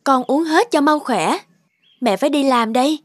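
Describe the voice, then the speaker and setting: A woman voicing a gentle, selfless young mother in a cartoon, tender and reassuring. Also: high-pitched, moderate pace, dry recording, lightly acted. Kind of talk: cartoon dub acting. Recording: very loud music behind the voice.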